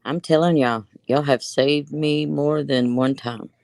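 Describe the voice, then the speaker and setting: A woman in a casual faith chat, giving affirming replies and quick interjections. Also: deep voice, fairly even intonation, creaky, a little mellow, no room sound, clean studio sound.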